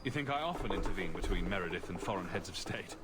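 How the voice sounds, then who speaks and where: An actor playing a sardonic, self-assured adventurer hero with dry, joking delivery in a video game. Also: male voice, low-pitched, lively intonation, fast, background noise. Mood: wry. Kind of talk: video game dialogue acting.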